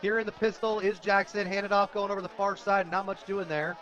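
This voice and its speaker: A man's voice: sits high, little pitch movement, a little fast, a bit bright. An American man doing live radio play-by-play of a football game as the announcer. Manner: reads sponsor plugs between plays. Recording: dull lo-fi outdoor radio broadcast.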